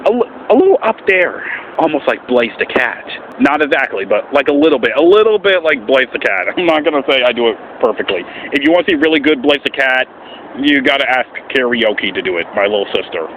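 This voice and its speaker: A man talking casually and earnestly in a vlog as an amateur voice actor, with an animated, swooping delivery. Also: mid-range voice, a little fast. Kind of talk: casual vlog explanation with voice demonstrations. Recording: muffled, faint background noise.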